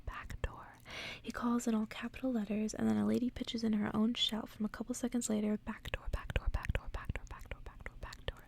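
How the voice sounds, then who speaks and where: A woman ASMR host whispering softly and close to the microphone in a breathy voice, as if reading bedtime stories aloud. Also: a little high. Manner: whispering, soft-spoken.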